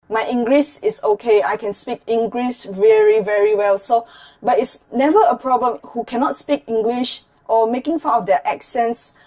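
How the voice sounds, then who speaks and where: Young Malaysian-accented woman YouTuber, bright higher register, earnest and chatty, mixes languages mid-sentence. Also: measured pace. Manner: earnest, code-switching, often restarts words. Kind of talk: vlog commentary.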